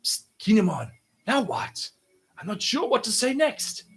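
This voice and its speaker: A man's voice: extremely high pitch, big pitch swings, a little slow, gravelly, husky, voice pushed up, exaggerated voice. A man voicing a timid, flustered samurai lord who is unsure of himself and speaks in anxious asides. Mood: nervous.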